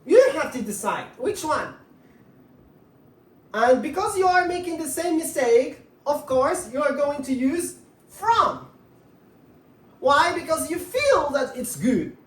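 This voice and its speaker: A woman's voice: sits high, big pitch swings, takes it slowly, long pauses. A woman teaching an English grammar lesson, repeating herself and putting questions to viewers, saying words one at a time. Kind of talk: grammar lesson to viewers, writing as she explains. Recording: echoey room, distant mic.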